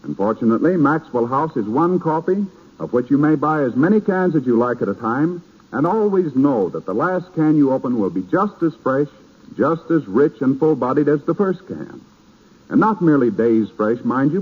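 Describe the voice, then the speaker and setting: An American man delivers a polished 1930s radio commercial. His pitch swings widely as he stresses each selling point. The voice is a little husky through a narrow, tinny vintage broadcast. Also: sing-song, normal speed. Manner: emphatic, polished salesman delivery. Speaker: radio announcer.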